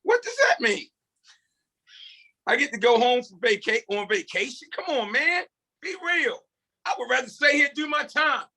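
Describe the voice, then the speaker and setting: Guy reading as a jumpy defiant inmate, high bright voice, swings all over, drama table read. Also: very animated, normal speed, long pauses, heavily acted. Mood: indignant. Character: nervous, defiant male prison inmate at parole hearing. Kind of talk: script table read acting.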